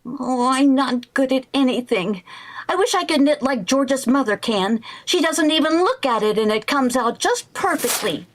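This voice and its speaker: A woman playing an excitable, scheming, flighty housewife in a 1940s-style radio comedy, theatrical and full of exclamations. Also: high voice, normal speed. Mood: flustered, earnest. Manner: theatrical, exclamatory, mock-innocent. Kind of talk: 1940s radio sitcom acting.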